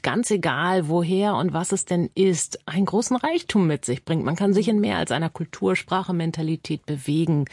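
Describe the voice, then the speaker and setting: A woman's voice: on the low side, a little mellow, slightly rough, dry recording, studio quality. A woman conducting an interview, asking formal, articulate questions in long, composed sentences.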